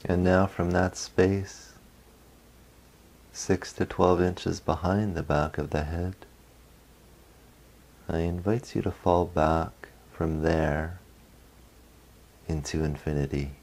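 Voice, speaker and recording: A man leading a guided meditation as a guide, speaking gently in short phrases with long pauses. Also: deep voice, steady tone.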